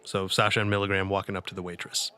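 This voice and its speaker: A man's voice: low-pitched, level tone, quick pace, sharp tone, clean tone. A man playing a tabletop role-playing game, breezily narrating and acting out his character in a playful, casual, improvised way. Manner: breezy, playful, acts out dialogue in first person.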